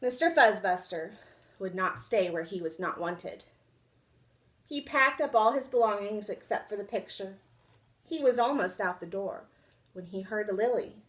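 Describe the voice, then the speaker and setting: A young woman reading a children's storybook aloud indoors in an expressive, dramatic storytime style. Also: mid-range voice, measured pace. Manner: animated, dramatic. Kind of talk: expressive picture-book read-aloud. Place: small indoor room.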